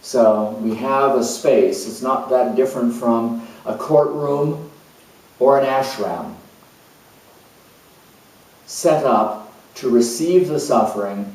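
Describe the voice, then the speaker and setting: An actor playing a calm, spiritual hospice coordinator who speaks in an earnest, searching way with many pauses. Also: male voice, medium pitch, lightly acted. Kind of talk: dramatized monologue. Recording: very echoey room, mic far off.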